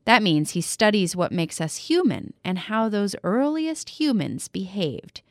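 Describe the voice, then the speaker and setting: A woman's voice: medium pitch, big pitch swings, unhurried, dry recording. Upbeat woman host of a kids podcast, voice jumps all over, super dry sound. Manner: upbeat, playful. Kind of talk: scripted narration for children.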